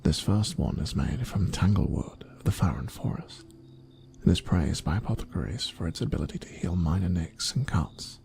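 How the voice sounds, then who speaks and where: A man voicing a kindly, fatherly wolf farmer in a soft-spoken, whispered ASMR roleplay, soothing and unhurried as he murmurs. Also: low-pitched, lively intonation, gravelly, slight background noise. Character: kindly dad-bod wolf farmer, gentle, fatherly caretaker. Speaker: ASMR performer.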